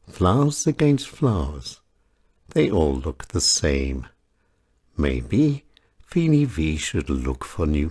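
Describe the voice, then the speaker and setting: Deep, slow, husky male storyteller. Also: darkish tone. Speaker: author reading own short stories. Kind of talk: scripted short story narration.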